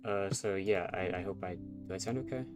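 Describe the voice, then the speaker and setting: A man's voice: low voice, fairly quick, music in the background. A man with a nasal, stuffy voice, rambling and self-conscious as he chats through a live game stream. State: stuffy nose from allergies.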